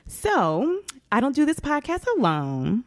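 A woman's voice: unhurried, no echo, clean studio sound. A woman in her twenties from Atlanta, hosting a podcast in a chatty, casual way with lots of fillers. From Atlanta, Georgia, US. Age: 20s. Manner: chatty, many fillers, lively pitch swings. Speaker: podcast host.

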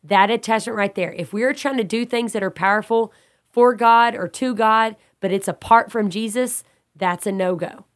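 A woman with a congested, slightly raspy voice, teaching a Bible study in an earnest, preacherly way and restating her points as she goes. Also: a little high, moderate pace. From Texas.

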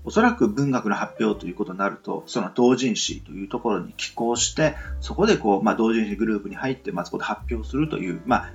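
A man hosting a solo podcast, rambling in short, halting phrases full of fillers as he thinks aloud. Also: fairly low pitch, expressive, vocal fry, dull audio, soft background music. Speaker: podcast host. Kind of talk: rambling solo radio talk.

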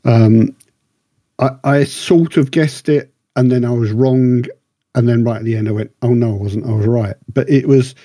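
A man with a relaxed, husky voice chats through a review on a podcast, recorded dry and close. He rambles enthusiastically, often correcting himself as he goes. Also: mid-range voice, normal speed, no echo. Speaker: podcast co-host reviewing books and radio dramas. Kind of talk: casual review chat.

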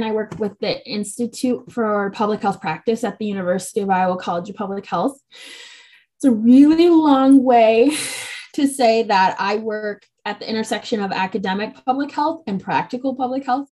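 A woman's voice: on the high side, normal speed, darkish tone. A woman hosting a public health podcast, speaking in a casual way with a few fillers. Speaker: podcast host.

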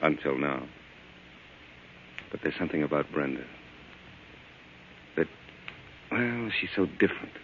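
An actor playing a cocky, evasive young mob lawyer in an old-time radio drama, brisk and defensive. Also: male voice, rumbling low, lively intonation, a little hiss or hum, full character voice. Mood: earnest, awkward. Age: young adult. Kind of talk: 1940s radio drama acting. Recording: dull lo-fi old radio recording.

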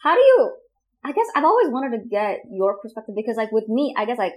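A woman's voice: on the high side, very animated, quick pace, a little mellow. A young adult woman hosting a podcast, talking frankly and swearing casually. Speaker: podcast host. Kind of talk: casual debate chat.

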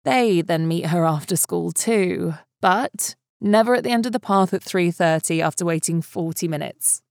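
A woman delivering scripted narration in a measured, clearly phrased reading manner. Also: lowish voice, darkish tone, dry recording, studio quality. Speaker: podcast announcer and reader.

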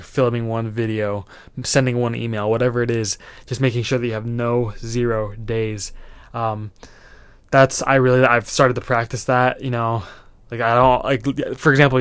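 A man's voice: medium pitch, clear, resonant tone, no echo. Young guy in his 20s doing a solo motivational podcast, lots of likes and ums, dry close sound. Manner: rambling, filler-heavy, earnest motivational talk. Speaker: podcast host.

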